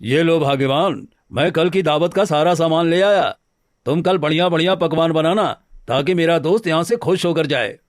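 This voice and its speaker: Man playing an earnest, higher-voiced cartoon woodcutter. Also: moderate pace, dry recording, studio quality, natural voice. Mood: homely. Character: good-natured, eager married woodcutter, a simple villager. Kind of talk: Hindi cartoon story dialogue.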